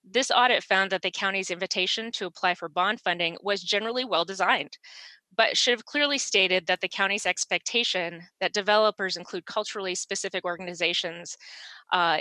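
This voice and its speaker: A woman in her forties gives an earnest, steady report with a few fillers. Her voice is bright and forward. The sound is dry and close, with no room echo. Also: lowish voice, a little fast. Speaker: auditor. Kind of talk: formal self-introduction at a public meeting.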